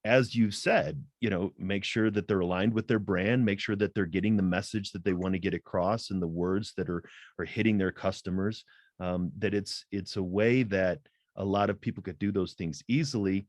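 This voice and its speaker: A middle-aged man talks as a podcast guest, measured and deliberate, often checking in with "right?". His voice is somewhat low. The sound is dry and close. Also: fairly even intonation, no echo. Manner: deliberate, thoughtful, frequent "um" and "right?". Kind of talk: interview conversation.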